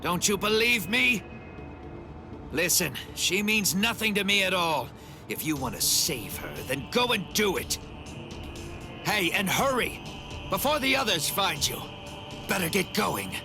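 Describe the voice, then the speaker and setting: An actor playing a proud, brash wounded fighter in a video game, with a gruff, mocking and dramatic delivery. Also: male voice, sits high, very animated, measured pace, long pauses, music in the background, full character voice. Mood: gruff, grave. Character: brash wounded young male warrior, proud and scornful. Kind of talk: English video game voice acting.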